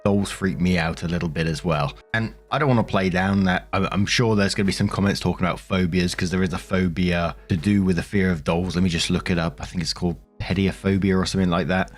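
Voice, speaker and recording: Low-voiced man narrating online stories. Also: steady tone, a little fast, faint music behind. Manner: conversational, addressing listeners directly. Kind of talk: reading stories aloud with casual commentary.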